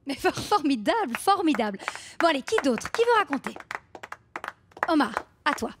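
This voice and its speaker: An actress playing a brisk, falsely cheerful, patronising woman leading a support circle in a satirical radio drama. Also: sits high, very animated, background noise. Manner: patronising, bossy, gushing.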